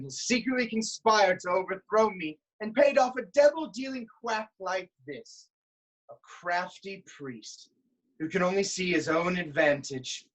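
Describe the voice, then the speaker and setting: An actor plays a proud king of ancient Thebes in a staged reading of Greek tragedy. The voice sits at a middle pitch. The delivery is formal and commanding, full of suspicion and hot-tempered accusation. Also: poor audio.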